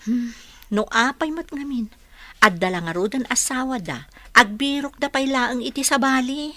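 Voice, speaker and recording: A woman's voice: high voice, expressive, normal speed, bright, forceful tone, dry recording. A woman playing a concerned, motherly older woman in conversational radio drama. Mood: concerned, probing.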